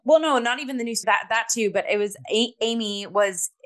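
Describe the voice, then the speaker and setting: A woman's voice: fairly high pitch, fairly quick, fairly bright tone. A woman co-hosting a theatre review podcast, explaining things casually and loosely, example by example.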